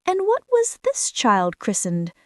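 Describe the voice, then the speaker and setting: Woman as a high, animated little storybook mouse. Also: slow, no room sound, studio quality, heavily acted. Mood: friendly. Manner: earnest, exclamatory, questioning. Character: small, trusting, good-natured female mouse, easily puzzled. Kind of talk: children's fairy-tale character voice.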